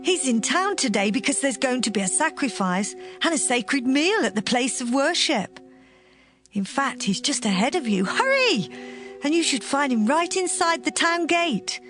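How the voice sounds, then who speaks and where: An excited young village woman, played by an actress in a Bible audio drama. She is helpful and eager, high-pitched and very animated, with faint music. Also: moderate pace.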